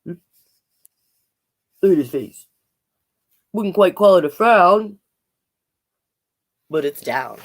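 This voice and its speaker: Expressive woman reading aloud at storytime, lively pitch. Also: slow pace, pauses often. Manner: expressive, playful, explains to young listeners. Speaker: host reading a children's picture book. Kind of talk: storytime read-aloud with chatty asides.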